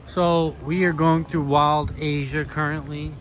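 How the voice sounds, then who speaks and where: Relaxed man from Guyana at a theme park. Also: on the high side, measured pace. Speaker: theme park visitor filming. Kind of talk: casual vlog chat. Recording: loud outdoor background noise. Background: crowd ambience.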